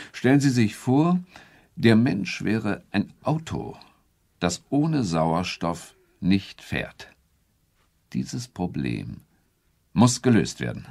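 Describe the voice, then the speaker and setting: An actor playing a pompous, evasive head of government in a radio play, speaking in a stately, gravelly, husky voice. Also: male voice, very deep voice, darkish tone, heavily acted. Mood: insistent, lecturing. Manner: stately, halting speechmaking. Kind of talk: German radio play acting.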